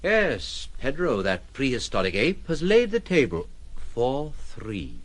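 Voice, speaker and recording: An actor playing an ironic, world-weary gentleman with an urbane, theatrical manner in an audio drama. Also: mid-range voice, wildly swooping pitch, slow pace, exaggerated voice. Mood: sardonic agitation. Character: ironic, world-weary gentleman recluse, gallant but fatalistic. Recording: clear background noise and ambience.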